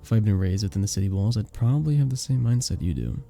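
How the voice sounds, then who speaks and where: Man acting a charming, dark-mellow vampire bartender. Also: lowish voice, lively intonation, quick pace, vocal fry. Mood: earnest, hopeful. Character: charming, sweet, earnest male vampire bartender. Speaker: audio roleplay performer. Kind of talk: ASMR romantic roleplay acting. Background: soft background music.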